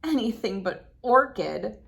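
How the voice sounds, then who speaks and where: A woman's voice: on the high side, expressive, measured pace, gravelly, fairly bright tone, exaggerated voice. A woman voicing a hopeful, determined young aspiring actress from a storybook, in an earnest, emphatic way. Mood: pleading.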